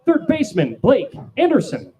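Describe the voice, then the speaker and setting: A man calling live baseball play-by-play from a booth as announcer, projecting loudly on the calls. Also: very high-pitched, huge pitch swings, moderate pace. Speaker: baseball play-by-play announcer, also doing public address. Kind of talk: live play-by-play sports commentary. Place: press booth at a ballpark. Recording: clear background noise.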